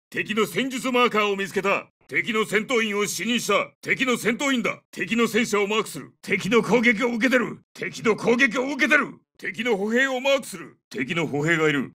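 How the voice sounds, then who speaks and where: A battle-hardened special forces captain from a shooter game, a middle-aged man. His voice is raised high and hard-edged, delivering short, urgent orders and reports with military authority. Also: pushed and bright, recorded from a distance, heavily acted. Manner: terse, commanding, urgent. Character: gruff bearded veteran male special forces captain. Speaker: video game soldier character. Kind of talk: Japanese game dub, short tactical callouts.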